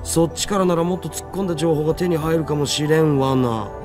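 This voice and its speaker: A geeky young hacker from a visual novel, acted by a man, with a fairly high, fast voice. His slangy, theatrical talk swings widely in pitch and gets exasperated easily, over faint music. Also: natural voice. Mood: casual, puzzled. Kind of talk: anime-style game voice acting.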